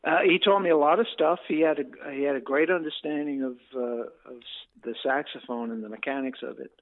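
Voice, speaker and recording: A man's voice: fairly high pitch, a little fast. Chatty man on a tinny phone line. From Bermuda. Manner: relaxed and chatty, chuckles often, repeats words. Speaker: guest saxophone player. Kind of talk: casual interview chat.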